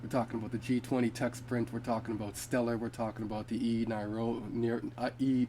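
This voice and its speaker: A man's voice: mid-range voice, little pitch movement, fairly quick, dark tone, a little hiss or hum. Congested man hosting a crypto video. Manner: rambling, with restarts and fillers. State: congested, a bit sick. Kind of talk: casual commentary reading from web pages. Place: home.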